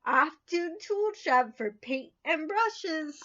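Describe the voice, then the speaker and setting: A woman reading a children's picture book aloud in an expressive, sing-song way. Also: sits high, takes it slowly, highs cut off. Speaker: storybook reader.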